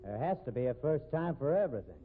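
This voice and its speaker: An actor playing a cocky, evasive rodeo cowboy in a 1950s radio drama, with American accent and folksy Western slang. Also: male voice, mid-range voice, very animated, fast, narrow, tinny audio, lightly acted. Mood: cocky, assured. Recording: thin, noisy vintage radio recording.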